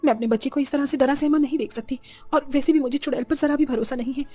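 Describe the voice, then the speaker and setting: A scheming, scolding village mother from a horror cartoon, played by a woman. She talks quickly and presses every point insistently. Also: high voice, steady tone, dark tone, natural voice. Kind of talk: animated horror story dialogue.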